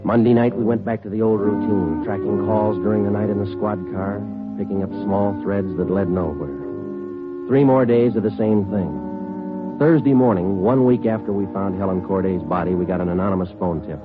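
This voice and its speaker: A man's voice: lowish voice, steady tone, normal speed, natural voice. An American man acting a terse, dogged police sergeant in a 1950s radio drama, clipped and matter-of-fact. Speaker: detective and narrator. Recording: muffled vintage radio recording, music behind.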